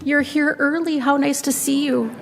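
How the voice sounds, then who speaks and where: A woman's voice: steady tone, normal speed, creaky, in a large hall. Woman doing a sweet ninety-seven-year-old granny. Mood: cheerful. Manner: sweet, chatty, higher and brighter voice.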